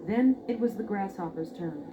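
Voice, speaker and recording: A woman's voice: mid-range voice, moderate pace. A woman narrating a scripted fairy tale expressively as a storyteller. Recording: dull, noisy old record.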